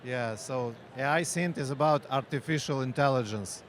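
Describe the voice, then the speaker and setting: Ukrainian-accented man, halting English. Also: medium pitch. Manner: halting non-native English, frequent pauses and fillers. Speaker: company founder and researcher. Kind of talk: conference interview. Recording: faint street and vehicle noise.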